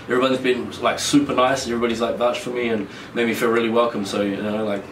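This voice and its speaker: A man's voice: medium pitch, little pitch movement, quick pace. Laughing young man with a New Zealand accent. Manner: laughs easily, rambling with many restarts. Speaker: guest wrestler. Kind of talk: casual interview chat. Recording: echoey room, distant mic, faint noise.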